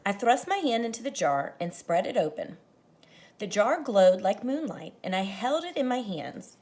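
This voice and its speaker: A woman teacher reading a picture book aloud to children, expressive and dramatic, speaking to them directly. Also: mid-range voice, normal speed. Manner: animated, dramatizes the story lines, addresses children directly. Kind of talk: expressive children's read-aloud with explanatory asides.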